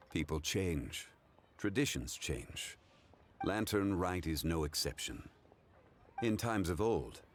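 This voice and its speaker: A man voicing a calm, erudite, ancient gentleman in a video game, speaking in a formal, measured, reflective way. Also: deep voice, slight background noise. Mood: solemn, reflective.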